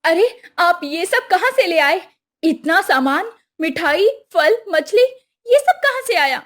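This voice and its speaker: Village wife for a moral-tale cartoon, female actor: extremely high-pitched, fretful, scolding, exclamatory. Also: big pitch swings, takes it slowly, fairly bright tone, heavily acted. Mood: suspicious. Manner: questioning, exclamatory. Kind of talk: Hindi cartoon moral story voice acting.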